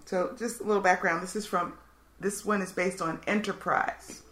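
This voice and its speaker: A woman's voice: mid-range voice, steady tone, moderate pace, fairly bright tone. Woman podcast host in an echoey room. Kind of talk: casual podcast banter and announcing.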